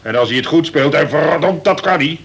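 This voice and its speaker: An actor playing a worried, blustering prison governor, a cautious and officious colonel, in a Dutch radio play. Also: male voice, on the high side, a little fast, gravelly, a bit bright, husky, heavily acted. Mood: exasperated. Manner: exasperated, swearing, officious.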